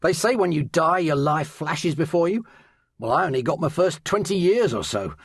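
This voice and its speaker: A man's voice: a little high, animated, normal speed, no room sound, clean studio sound, heavily acted. An actor playing an old great-grandfather, a Great War veteran looking back bitterly, in an audio drama. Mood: reflective. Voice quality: gravelly, husky.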